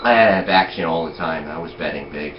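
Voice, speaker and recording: A man's voice: extremely low pitch, fast. A man in his forties chatting casually, rambling and full of "you know" and "like". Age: 40s. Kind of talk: casual chat. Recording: echoey lo-fi room with noise.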